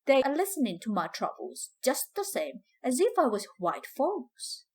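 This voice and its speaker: Woman acting a proud older Southern storyteller, slow, expressive. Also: high-pitched, breathy edge, exaggerated voice. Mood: reminiscing. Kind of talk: dramatic first-person storytelling in dialect.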